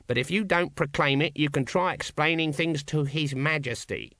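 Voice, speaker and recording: A man voicing an arrogant, pompous older palace guard who speaks slowly. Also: fairly high pitch, a bit bright, no room sound, studio quality, pitched above the performer's own voice. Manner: arrogant, slow.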